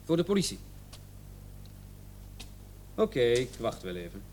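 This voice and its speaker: A man's voice: very animated, unhurried, a little mellow. An actor playing a brusque, suspicious male police officer in a radio drama, firing curt questions and commands. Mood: curt, businesslike. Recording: noisy old radio drama recording, rumble.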